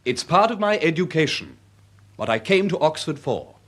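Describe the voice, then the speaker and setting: An actor playing an idle, breezy young Victorian gentleman student with an upper-class English accent in a radio drama. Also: fairly high pitch, sing-song, moderate pace. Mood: breezy, defensive. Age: young adult. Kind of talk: period radio drama acting.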